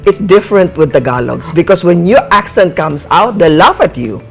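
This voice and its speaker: An older woman from Cebu with a Visayan accent and a low, husky bedroom voice, chatting poised and unhurried as a guest actress. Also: big pitch swings, soft background music. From Cebu, Philippines. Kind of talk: casual interview chat.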